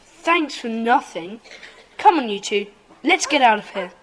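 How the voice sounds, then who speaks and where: A woman playing a decisive schoolboy club leader with a brisk, take-charge manner in a children's audio drama. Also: a little high, lively intonation, rough recording. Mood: indignant.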